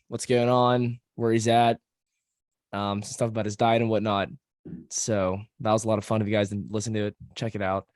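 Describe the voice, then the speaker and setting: Slightly hoarse, laughing podcast host man. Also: mid-range voice, a little mellow, no echo, clean studio sound. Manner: laughs often, swears freely, loose joking delivery. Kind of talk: casual podcast chat.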